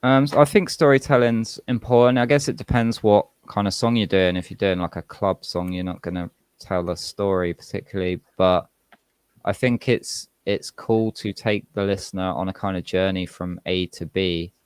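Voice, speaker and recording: A man's voice: sits low, fairly even intonation, normal speed, smooth, no room sound. A man with a British accent and a slight American twang, chatting casually and reflectively as an interview guest. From England, UK. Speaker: rapper, podcast guest.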